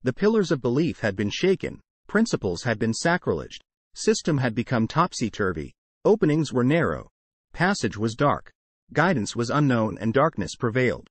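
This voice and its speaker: A man reads a religious text in a measured, declamatory way, his pitch rising and falling with lively emphasis. The voice is close and dry, with no room sound around it. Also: no room sound. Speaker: sermon reader. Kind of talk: solemn scripted narration over slideshow.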